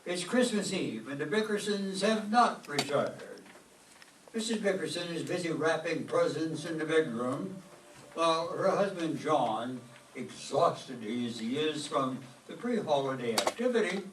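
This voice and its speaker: Highish-voiced male radio announcer, roomy sound. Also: lively intonation, unhurried. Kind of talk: old-time radio announcing, scripted narration. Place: live stage performance before an audience. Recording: roomy, distant mic, clear background noise.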